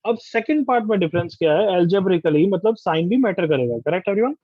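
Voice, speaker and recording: A high-pitched man teaches an online class in a brisk, drilling way, firing questions at his students. The sound is dull and lo-fi, like a video call, and very dry. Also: lively intonation, dry recording.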